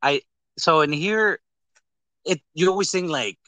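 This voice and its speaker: A man's voice: on the high side, a little slow, sharp tone, dry recording. A man chatting casually as a podcast host, rambling, repeating phrases and trailing off. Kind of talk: casual chat.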